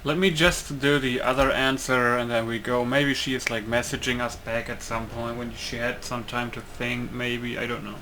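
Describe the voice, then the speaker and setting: A man's voice: mid-range voice, level tone, moderate pace, hardly any pauses. A man giving casual, offhand commentary as he plays through a video game, recorded at home. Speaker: gaming video maker. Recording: clear background noise.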